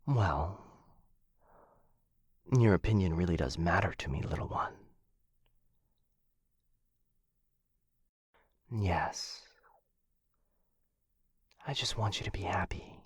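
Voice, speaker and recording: A man's voice: sits low, slow, long pauses, breathy edge, no room sound, clean studio sound, full character voice. A man voicing a possessive, doting dragon captor in a boyfriend ASMR fantasy roleplay, theatrical and coaxing, with hesitant musing. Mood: tender, coaxing.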